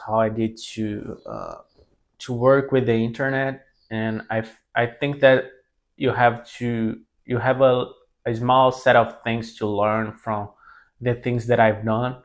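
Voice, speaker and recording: A man in his twenties from Brazil with a Brazilian Portuguese accent and Portuguese as his first language, talking to camera in a casual, halting way, often restarting his sentences. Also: mid-range voice. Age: 20s. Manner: halting, many restarts and self-corrections. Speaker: language mentor.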